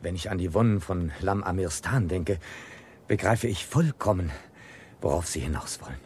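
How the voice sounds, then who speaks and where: An actor in a German radio play playing a courteous, deferential office employee who speaks a little hesitantly. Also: male voice, sits low, normal speed, close to an everyday voice. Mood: reflective. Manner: hesitant, murmuring agreement, courteous. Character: polite, deferential, well-fed office employee, mildly inquisitive.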